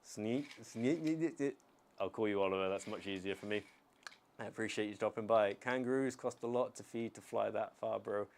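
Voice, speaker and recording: A young man from the United Kingdom chatting casually on a live stream, answering chat in a relaxed, rambling way with many pauses and restarts. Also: on the low side, a little hiss or hum.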